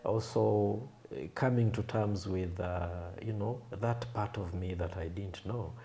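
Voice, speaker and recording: A man in his thirties from Kenya, a Swahili native speaker, recalling his past in an unhurried, thoughtful way, with frequent pauses. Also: lowish voice, mellow. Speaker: guest, film and creative artist. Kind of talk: reflective interview storytelling.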